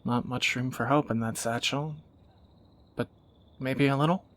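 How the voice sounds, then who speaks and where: A man playing a teasing, protective captor turned lover in a romantic fantasy audio roleplay, bantering and soft-spoken. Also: mid-range voice, fairly even intonation, measured pace, dry recording, lightly acted. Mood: fond banter.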